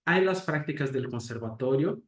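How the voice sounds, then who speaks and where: A man giving an academic talk as a lecturer, a native Portuguese speaker who speaks thoughtfully and hesitantly, pausing with fillers and asking rhetorical questions. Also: mid-range voice, lively intonation, a bit bright. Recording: roomy echo.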